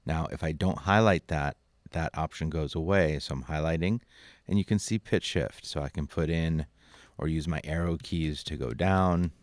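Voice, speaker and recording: A man narrating a software tutorial as an instructor, informal and thinking aloud as he goes. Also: rumbling low, normal speed, no echo. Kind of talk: casual screen-recorded tutorial narration.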